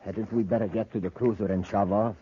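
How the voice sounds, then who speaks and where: An actor playing an obedient, rough henchman thug in a 1950s radio serial. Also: on the low side, steady tone, a little fast, a little hiss or hum, natural voice. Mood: wary. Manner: rough, simple, obedient to his boss. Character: henchman thug, deferential but ready for violence. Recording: muffled old 1950s radio recording.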